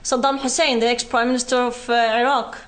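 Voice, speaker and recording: A young woman with a Palestinian British accent, giving an earnest talk on her own experience, often pausing with fillers. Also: fairly high pitch, little pitch movement, fairly bright tone. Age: young adult. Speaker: guest speaker. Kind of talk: university talk from personal experience.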